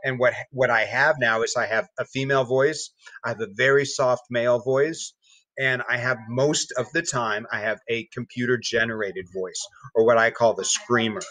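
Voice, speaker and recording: Halting, bright-voiced man. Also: medium pitch, steady tone, no echo, rough recording. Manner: halting, with many repeated words and restarts. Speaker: podcast guest. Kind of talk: personal account in casual conversation.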